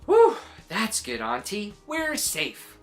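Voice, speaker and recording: Woman doing an earnest little boy, pitched up high. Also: wildly swooping pitch, slow pace, faint music behind. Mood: anxious, eager. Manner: questioning, exclaiming. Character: earnest, curious little nephew, doubtful but eager. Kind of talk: storybook character voice for children.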